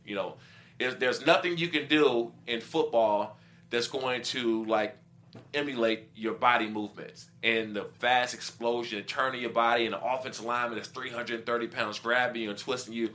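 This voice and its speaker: A middle-aged man with a bright, forceful tone talks casually about football as a guest. He explains his views with examples and acts out quoted remarks, like a former player recalling his career. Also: mid-range voice, fairly even intonation, normal speed. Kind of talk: casual sports talk.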